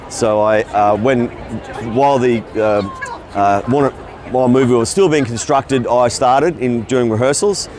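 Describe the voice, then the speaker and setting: A man's voice: mid-range voice, darkish tone, a little hiss or hum. A middle-aged Australian man, a voice actor telling his career story in a loose, rambling way at a convention. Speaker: voice actor interviewee. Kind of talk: casual interview answers. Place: convention floor.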